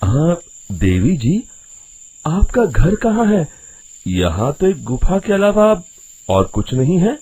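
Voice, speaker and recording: A man playing an anxious village man in a dramatised story, with dramatic, exclaiming delivery and a husky voice. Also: fairly high pitch, huge pitch swings, slow pace, long pauses, dark tone, heavily acted, poor audio. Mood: obliging, puzzled. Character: anxious village men, cart driver and shopkeeper. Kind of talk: dramatised Hindi story acting.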